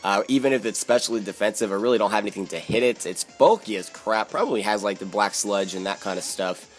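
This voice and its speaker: Casting: hoarse Philly-young man, chatty gaming commentary, faint music bed. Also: on the low side, animated, quick pace. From Philadelphia, USA. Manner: chatty, strategizing aloud, frequent fillers. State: hoarse, raspy from yelling. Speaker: gaming YouTuber. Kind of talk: live game commentary.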